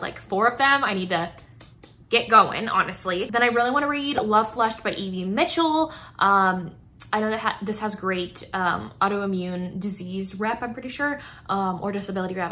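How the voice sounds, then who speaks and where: A woman's voice: mid-range voice, sharp tone, a little hiss or hum. A woman in her twenties chatting casually to camera as a book vlogger, gushing and emphatic in an informal way. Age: 20s. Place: small indoor room lined with bookshelves.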